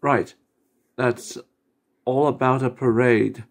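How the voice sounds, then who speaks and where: A man reading amateur character voice lines indoors in a halting way, stumbling over words. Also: medium pitch, fairly even intonation, gravelly. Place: indoors at a computer desk.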